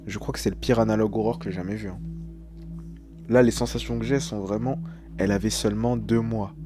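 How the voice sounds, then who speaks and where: A man's voice: fairly low pitch, steady tone, brisk, music in the background. A young man speaking as a streamer in casual live reaction, translating text aloud with slangy, hesitant, uneasy commentary.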